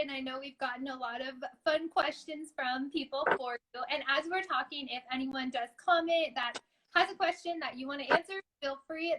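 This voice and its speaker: A woman's voice: high voice, fairly bright tone, poor audio. A woman hosting an online interview show, upbeat and encouraging in manner. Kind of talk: live online interview, scripted sponsor read then casual chat.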